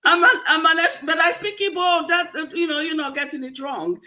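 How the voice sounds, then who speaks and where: A woman's voice: very high-pitched, fairly quick, pushed and bright. A Nigerian woman, a native Efik speaker, co-hosting a casual online discussion with a Nigerian accent. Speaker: co-host of a discussion show. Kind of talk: casual online panel chat.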